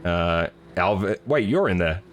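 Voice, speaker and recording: Hoarse, joking male race commentator. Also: low voice, takes it slowly, a little hiss or hum. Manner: relaxed, joking, with many fillers. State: slightly hoarse. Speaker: sim racing commentator. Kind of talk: live stream race commentary.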